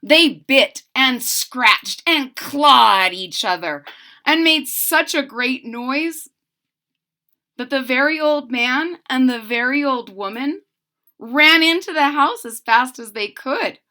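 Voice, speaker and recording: A woman reading a picture book aloud to young children, expressive and stopping to explain in simple words. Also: sits high, takes it slowly. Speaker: storybook reader.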